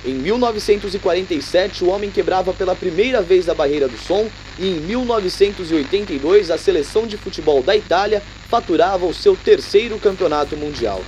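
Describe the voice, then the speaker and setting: An actor playing a formal morning radio newsreader in a radio drama. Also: male voice, high voice, brisk, few pauses, darkish tone, slight background noise, lightly acted, low-quality recording. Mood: announcing. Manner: announcerly, formal. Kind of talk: radio news bulletin reading.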